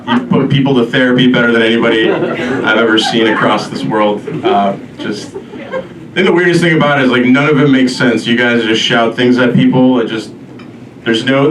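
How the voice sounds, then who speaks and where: A man from Albania doing a self-deprecating stand-up comedy set, with a deadpan, halting delivery. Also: medium pitch. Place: live comedy show before an audience. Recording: roomy echo, distant mic, noisy live venue.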